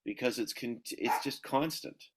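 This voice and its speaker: A man's voice: medium pitch, unhurried, husky. A man hosting a film podcast, posing thoughtful questions to his guests in a conversational way.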